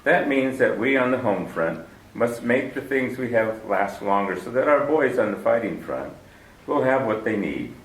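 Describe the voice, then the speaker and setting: A man's voice: medium pitch, lively intonation, normal speed. A man hosting and narrating a 1940s radio drama in a formal, stately manner. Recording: roomy, distant 1940s radio broadcast recording.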